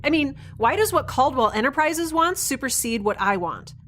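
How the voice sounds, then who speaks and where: A woman's voice: sits high, animated, normal speed, fairly bright tone. A woman playing a defiant, wry young rebel on the run in a science fiction audio drama, chatty and given to sarcastic asides.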